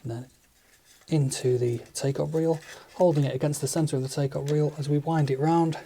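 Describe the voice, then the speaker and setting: Man calmly explaining studio gear. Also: on the high side, moderate pace, a little hiss or hum. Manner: relaxed, methodical step-by-step explaining with fillers. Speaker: studio engineer demonstrating equipment. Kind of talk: hands-on tutorial narration.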